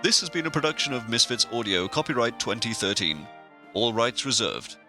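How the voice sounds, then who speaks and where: An actor playing a suave, pompous narrator in an audio sitcom, speaking formally and deadpan. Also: male voice, medium pitch, brisk, music in the background, natural voice. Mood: formal, mock-solemn. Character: suave, pompous male narrator who steps into the story.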